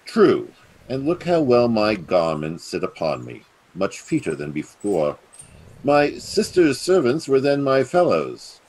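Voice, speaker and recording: An actor playing a scheming, treacherous usurping duke in a Shakespearean radio play, theatrical and insinuating. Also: male voice, medium pitch, huge pitch swings, measured pace, darkish tone, breathy edge, full character voice, poor audio. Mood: coldly plotting.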